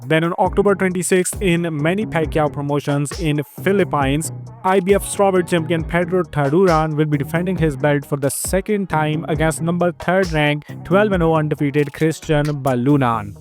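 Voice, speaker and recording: An upbeat man with an Indian English accent runs through fight announcements like a news host, brisk and eager. His voice sits a little high for a man. A faint music bed plays underneath. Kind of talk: scripted news rundown.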